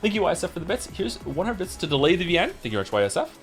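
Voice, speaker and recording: Animated fast-talking man streamer. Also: high-pitched, vocal fry, faint music behind. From Wales. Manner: animated, reads messages aloud quickly with wry asides. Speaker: streamer reading chat messages. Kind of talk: casual livestream chat.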